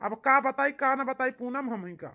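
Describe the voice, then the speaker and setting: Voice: high-pitched, moderate pace, gravelly, pushed and bright, heavily acted. A performer voicing a disgruntled, complaining husband in an amateur cartoon, with an Awadhi accent and a grumbling, aggrieved manner. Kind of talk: amateur cartoon comedy-drama acting.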